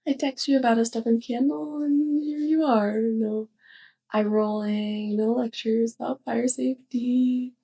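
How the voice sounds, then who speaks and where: A sheepish young witch next door , acted by a woman, speaks softly and slowly in an intimate ASMR roleplay. Her voice is fairly high with a creaky, crackling edge. She talks haltingly and fondly, as if confiding in her neighbor. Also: steady tone, exaggerated voice. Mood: fond, musing. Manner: hesitant, halting, giggly. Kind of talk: soft ASMR roleplay monologue to the listener.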